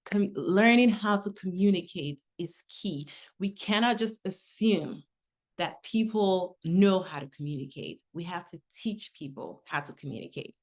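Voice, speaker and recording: Middle-aged woman from Nigeria, low-ish voice, conversational webinar presenter. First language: English. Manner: conversational, uses personal anecdotes. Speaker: webinar speaker.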